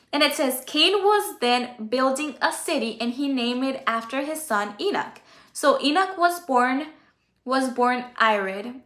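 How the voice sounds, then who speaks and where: A woman whose first language is Spanish, informally teaching a Bible study in a conversational, halting way. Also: a little high, a bit bright, reverberant. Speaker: Bible teacher and channel host. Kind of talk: informal Bible study talk, reading and explaining.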